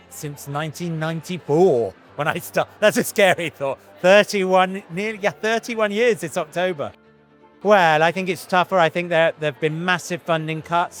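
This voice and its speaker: Casting note: man in his fifties, slightly high voice, earnest and reflective, interview answers over faint music. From Iran, raised in Britain. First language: Farsi. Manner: thoughtful, earnest, with frequent restarts and "you know". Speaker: actor.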